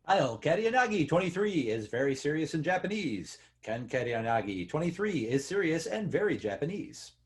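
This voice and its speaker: Animated, higher-pitched male caption reader. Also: normal speed, few pauses. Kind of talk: scripted narration.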